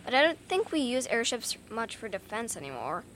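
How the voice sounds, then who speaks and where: A woman's voice: a little high, very animated, brisk, a bit bright, slight background noise. A woman co-hosting a podcast, asking questions in an upbeat, scripted way. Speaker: podcast co-host.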